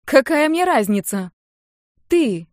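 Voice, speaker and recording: Woman playing indignant, combative young woman, raised pitch. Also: very animated, slow pace, no room sound, clean studio sound, exaggerated voice. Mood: exasperated. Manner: exclamatory, exasperated protests.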